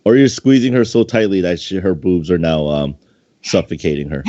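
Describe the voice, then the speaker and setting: A man's voice: low-pitched, lively intonation, normal speed, mellow. A man chatting casually and commenting as a co-host and contest judge. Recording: dull lo-fi voice chat audio.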